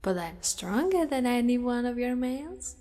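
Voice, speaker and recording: A woman voicing a possessive, doting female spider monster in an ASMR roleplay, with formal wording and a hushed delivery that often slips into whispers. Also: on the high side, big pitch swings, moderate pace. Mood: possessive, soothing. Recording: long reverberant echo.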